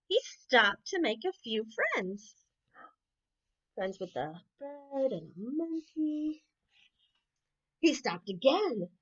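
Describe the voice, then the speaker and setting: A woman reading children's bedtime stories aloud in an expressive storytelling manner, with exclamations and asides. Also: high-pitched, highs cut off. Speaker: camp unit head reading bedtime stories. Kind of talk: animated picture-book read-aloud for children.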